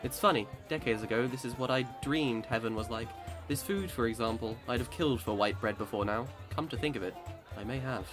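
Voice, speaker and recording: A man's voice: medium pitch, a little fast, over music, natural voice. A man acting in a radio drama as a boastful immortal Englishman who swings between cheer and despair, with theatrical old-time speech. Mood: cheerful, musing.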